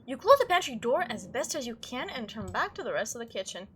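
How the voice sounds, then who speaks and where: A woman's voice: a little high, big pitch swings, fast, a bit bright, a little hiss or hum. A woman hosting a gaming playthrough, chatting and reacting aloud as she reads the game's text. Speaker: let's play host. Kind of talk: gaming commentary mixed with reading visual novel text aloud.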